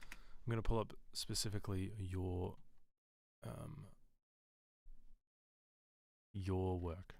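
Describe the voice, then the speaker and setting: A man with a lot of bass in his voice, chatting casually on a live stream and giving feedback with loose phrasing and plenty of fillers. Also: fairly even intonation, takes it slowly, vocal fry, long pauses, darkish tone, no echo. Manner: rambling, loose, with many fillers and restarts.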